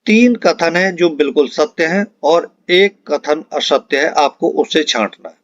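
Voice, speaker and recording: A male teacher with a moderately high voice reads out multiple-choice questions and their answers. He is instructional and repeats key phrases for emphasis. The sound is close and dry, with a somewhat muffled, lo-fi tone. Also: measured pace, no room sound. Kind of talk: exam-prep lesson reading out multiple-choice questions and answers. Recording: dull, lo-fi close dry recording.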